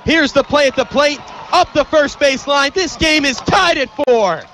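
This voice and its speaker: A young American man calling live baseball play-by-play, emphatic and excitable on big plays. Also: extremely high pitch, moderate pace, a little hiss or hum. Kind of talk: live sports commentary.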